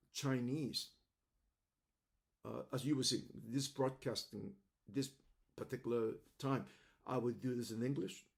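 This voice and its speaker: An older man from Macau, speaking English as an in a halting, reflective monologue, often repeating words, recorded on a webcam at home. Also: medium pitch, creaky. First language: Cantonese. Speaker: host. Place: home room indoors.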